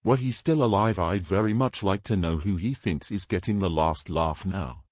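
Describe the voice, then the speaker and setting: A man playing a greedy, imperious male adventurer with brisk old-fashioned speech in a fantasy audio story. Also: low voice, a little mellow, no room sound, studio quality. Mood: bossy, scornful.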